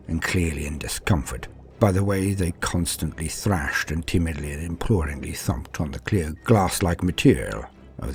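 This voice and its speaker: Very deep, creaky-voiced theatrical male storyteller. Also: warm tone, a little hiss or hum. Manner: theatrical, ornate and deliberate. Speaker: host and narrator. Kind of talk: dramatic horror storytelling narration.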